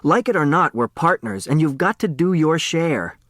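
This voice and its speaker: Earnest high schooler, acted by a male reader: urgent, pushy, higher voice, audiobook dialogue. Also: lively intonation, moderate pace, a bit bright, no room sound, studio quality. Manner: urgent, insistent. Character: earnest, breathless, insistent high school boy.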